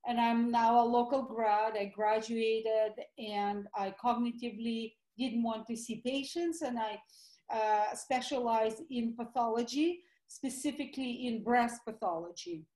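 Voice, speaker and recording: A woman's voice: on the high side, darkish tone, echoey room, poor audio. A middle-aged woman with a Russian accent, a guest doctor telling her story eagerly in a call-in interview. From former Soviet Union.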